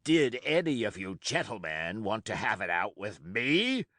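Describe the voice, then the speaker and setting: Man reading as John Silver, a gruff old pirate cook. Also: big pitch swings, a little slow, a bit bright, no room sound, heavily acted. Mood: defiant. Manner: gruff, low and commanding. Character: crafty older one-legged pirate cook, gruff and menacing.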